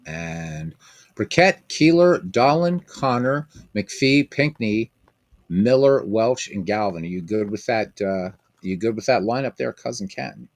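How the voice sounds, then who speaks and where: A man's voice: lowish voice, expressive, a little slow, no echo. A man hosting a casual live stream of a baseball game, rambling, repeating himself and chatting with viewers by name.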